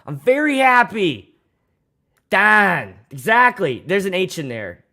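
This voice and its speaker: A man's voice: sits high, very animated, slow pace, creaky, bright, forceful tone. A young man from Pittsburgh with a Pittsburgh accent, hosting a casual livestream as a DJ, rambling, swearing freely and restarting his sentences. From Pittsburgh, USA. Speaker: DJ and livestream host.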